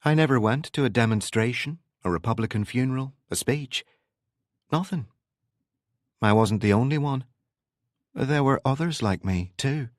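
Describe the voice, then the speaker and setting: A man's voice: mid-range voice, lively intonation, normal speed, pauses often, no echo, clean studio sound, lightly acted. Man playing a guarded Northern Irish man. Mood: guarded, confessional. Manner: evasive, feigned casualness.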